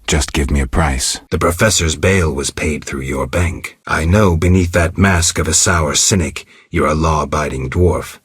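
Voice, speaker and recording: A gruff, sardonic mutant monster hunter from a video game, speaking in an extremely low, heavily rasping voice. He is terse and deadpan, with dry cynicism, and the sound is close and very dry. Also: moderate pace, few pauses, no room sound, exaggerated voice. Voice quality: gravelly, husky. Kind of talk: video game character dialogue.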